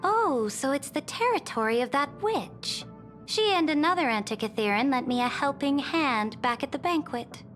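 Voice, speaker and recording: A woman's voice: high voice, animated, moderate pace, fairly bright tone, faint music behind. A woman voicing a proud young conquering empress in a video game, speaking formally and haughtily. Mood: haughty, curious. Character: proud young-looking female conquering emperor, regal and imperious. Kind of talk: video game character acting.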